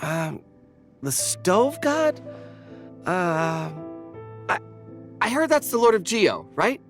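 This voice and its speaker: A man's voice: high voice, sing-song, takes it slowly, soft background music, heavily acted. An actor playing a nervous, deferential young man, stammering and hesitant, in a fantasy video game. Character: flustered, deferential young male warehouse keeper.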